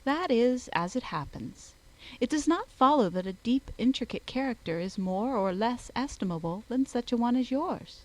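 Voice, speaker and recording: A woman's voice: fairly high pitch, lively intonation, moderate pace, darkish tone, voice pushed up, close to an everyday voice. A woman voicing a witty, playful, self-assured young lady in a period novel audiobook. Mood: playful, confident.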